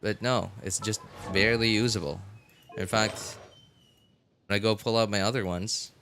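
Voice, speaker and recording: A man with a fairly low voice streams a game and comments casually as he plays. He thinks aloud in a halting way, often repeating words and starting phrases again. Game music plays faintly behind him. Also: steady tone, fairly bright tone.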